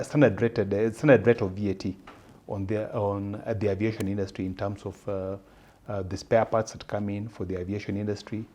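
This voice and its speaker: A man with a Kenyan accent explaining policy as an interview guest, measured and halting as he searches for words. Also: lowish voice, darkish tone.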